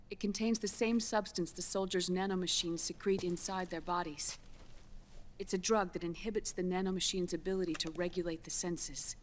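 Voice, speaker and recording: An actress playing a grave, guarded scientist in a video game, measured and solemn, with a resigned air. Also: female voice, on the low side, background noise, lightly acted. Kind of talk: dramatic video game voice acting. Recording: musical score underneath. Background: dramatic game soundtrack music.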